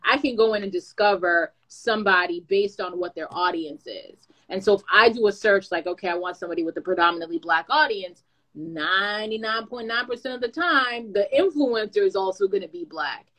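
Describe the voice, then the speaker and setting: A woman's voice: medium pitch, brisk, poor audio. Chatty woman giving creator advice. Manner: lively, chatty, with playful asides. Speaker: content creator and brand manager. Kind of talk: casual advice talk.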